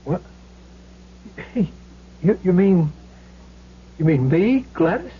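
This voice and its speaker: An actor playing a soft-hearted, naive would-be actor in a seventies radio drama, stammering and incredulous. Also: male voice, high voice, big pitch swings, takes it slowly, husky, exaggerated voice. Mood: amazed. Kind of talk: 1970s radio drama acting. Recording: dull lo-fi old radio broadcast.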